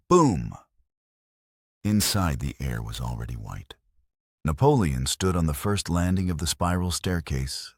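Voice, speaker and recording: A man with an extremely low, husky voice narrates a dramatic story. His delivery is scripted and theatrical, and the sound is close and dry. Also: no echo.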